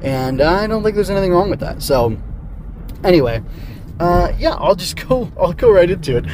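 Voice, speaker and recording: A man's voice: sits high, sing-song, moderate pace, noisy background. A middle-aged man hosting a solo podcast, talking casually and rambling with digressions. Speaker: podcast host. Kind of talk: casual solo review chat.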